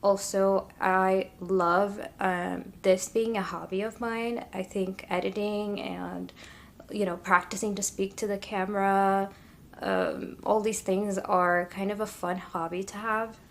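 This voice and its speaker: A young woman talks to her camera in a relaxed, upbeat get-to-know-me chat. Her voice sits at an ordinary middle pitch, and she fills the pauses with easy fillers. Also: a little hiss or hum. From Ethiopia. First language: Amharic. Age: 20s. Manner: chatty and upbeat, with many fillers. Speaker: vlogger.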